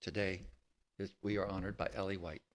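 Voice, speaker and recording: Low-voiced man leading church liturgy. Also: level tone, a little fast. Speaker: worship leader. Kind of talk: formal liturgical reading to a congregation.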